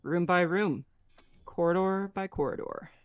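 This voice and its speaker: A man's voice: high-pitched, unhurried, clean tone, faint music behind. Terse spaceship captain, played by a man. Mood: decisive. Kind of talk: dramatic script table read.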